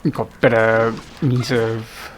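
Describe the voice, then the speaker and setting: A man's voice: mid-range voice, level tone, slow, a little hiss or hum. A man giving casual, unscripted gameplay commentary, musing to himself as he plays. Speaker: gameplay video host. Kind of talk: casual let's play commentary.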